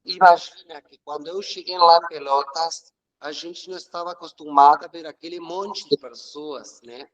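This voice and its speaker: Uruguayan man speaking Portuguese, higher-pitched. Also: fairly even intonation, fairly bright tone, highs cut off. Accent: Uruguayan Spanish. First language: Spanish. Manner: earnest, reflective, occasional halting restarts. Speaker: guest, religious leader. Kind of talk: emotional personal storytelling.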